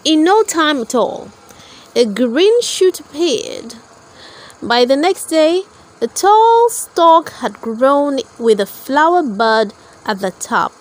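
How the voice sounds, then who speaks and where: Slow, high-pitched woman reading a storybook. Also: sing-song. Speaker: storybook narrator. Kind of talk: read-aloud children's story narration.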